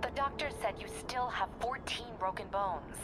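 A woman's voice: medium pitch, moderate pace, close to an everyday voice. An actress playing a caring, worried woman who is a superhero's ally in a cinematic video game. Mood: worried, subdued. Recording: loud cinematic music behind.

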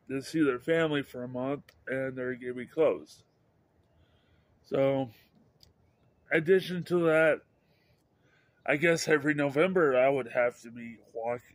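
Middle-aged male vlogger, slow and rambling, many restarts, phone at arm's length outside. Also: medium pitch, no room sound. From Idaho, USA. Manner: halting, repeats and restarts words, upbeat. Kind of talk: rambling casual vlog. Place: outdoors by a house under overcast sky. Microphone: handheld phone camera at arm's length.

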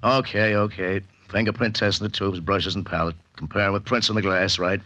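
An actor playing a gruff, wisecracking police lieutenant in a 1940s radio mystery, blunt and sardonic. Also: male voice, on the low side, fairly even intonation, a little fast, sharp tone. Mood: sardonic, teasing.

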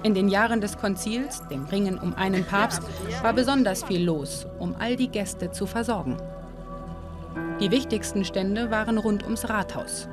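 A woman narrating a documentary in a calm, measured, informative way. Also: mid-range voice. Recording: voice-over with music behind. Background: music.

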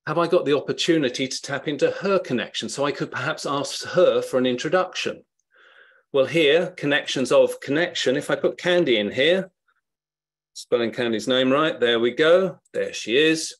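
A middle-aged man with a Norfolk accent from England gives a screen-share webinar tutorial. He talks in a relaxed, encouraging way and thinks aloud as he clicks through the steps. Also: medium pitch. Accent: Norfolk English. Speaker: webinar presenter.

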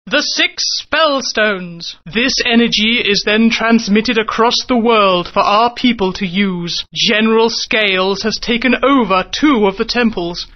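An actor playing a measured elder who explains a quest, on muffled lo-fi game audio. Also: male voice, high voice. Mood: grave.

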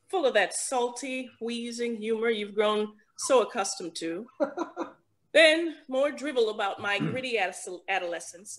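A woman's voice: a little high, a bit bright. A woman playing a vain, self-aware actress who narrates her own scene in an arch, wry, theatrical parody style. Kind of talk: parody stage acting.

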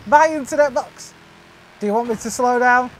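Excitable high-voiced woman gamer. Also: normal speed, slight background noise, low-quality recording. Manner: excitable, exclaiming and laughing. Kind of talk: casual gaming banter.

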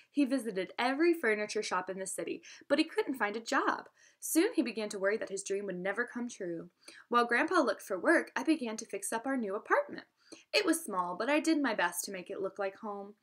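A young woman reads aloud like a teacher guiding a class. Her pitch moves in lively swings, and her delivery is expressive and instructive. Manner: instructive, addresses listeners with questions. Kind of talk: expressive storybook read-aloud with asides to students.

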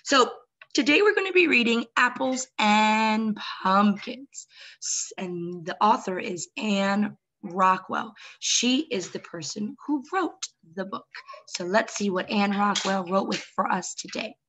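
A woman's voice: mid-range voice, expressive, measured pace, a bit bright, poor audio. A young adult woman hosting story time, reading a picture book expressively and speaking directly to young children.

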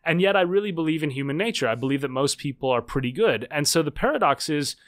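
A man's voice: fairly high pitch, lively intonation, quick pace, a bit bright, no room sound, studio quality. A man, an author and political scientist, answering interview questions in an articulate, earnest way with frequent fillers. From United States.

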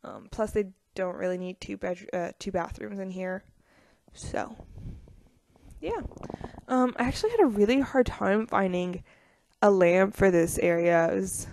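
A young woman talks loosely over her game build footage, with a pitch that sits in an everyday middle range. She rambles and hesitates, dropping frequent ums and little laughs. Her voice is close to the mic and dry, with no room around it. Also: a little mellow, dry recording. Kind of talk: casual voice-over commentary on a speed build.

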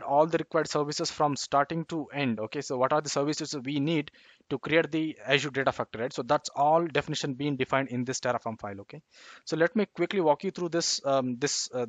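A man's voice: a little high, dry recording. Guy doing a code walkthrough, lots of ums and okay yeahs, dry close mic. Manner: halting, many fillers and repeated "okay, yeah" tags. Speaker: tech tutorial presenter. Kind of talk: unscripted screen-share code walkthrough.

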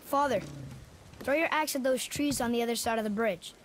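A man's voice: very high voice, fairly quick, fairly bright tone, a little hiss or hum. A male actor playing an earnest, inquisitive young boy in a video game, polite and eager to please. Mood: alert.

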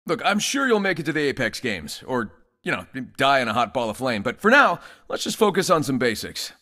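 A man's voice: medium pitch, very animated, fairly quick, sharp tone. An actor voicing a cocky, joking young male combat trainer in a video game tutorial, wisecracking and breezy with theatrical delivery. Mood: breezy instruction. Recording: music behind the voice.

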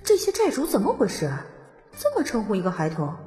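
A woman's voice: medium pitch, wildly swooping pitch, dark tone, big-room sound, soft background music. A woman voicing a bold, forthright bandit leader in an audiobook. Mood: incredulous. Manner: forthright, unhurried. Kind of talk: audiobook character dialogue.

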